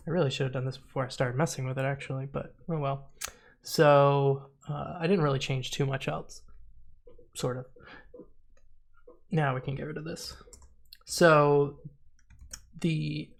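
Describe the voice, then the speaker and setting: A man's voice: medium pitch, fairly even intonation, unhurried, vocal fry, pauses often. A man with a stuffy, sniffly nose, talking through his programming in a casual screen-share, thinking aloud with lots of fillers and trailing off to mutter while he types. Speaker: programmer streaming a coding session.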